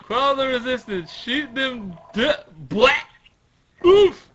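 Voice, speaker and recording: A man voicing frantic cartoon guards and little rebels, exclaiming loudly and over-dramatically. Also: extremely high pitch, measured pace, dull audio, pitched above the performer's own voice, heavily acted. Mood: frantic, commanding.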